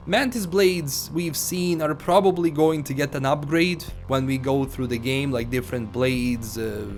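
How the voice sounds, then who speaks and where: A man narrating gaming news in an informal, explanatory way, speaking directly to viewers. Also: on the high side. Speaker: video game news YouTuber. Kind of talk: informal scripted video narration. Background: background music.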